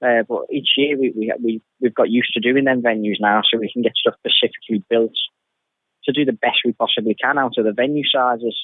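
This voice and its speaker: A man's voice: medium pitch, steady tone, fairly quick, dry recording. A man being interviewed on radio as a show producer, talking informally and often repeating words as he restarts. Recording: thin phone-like radio interview sound.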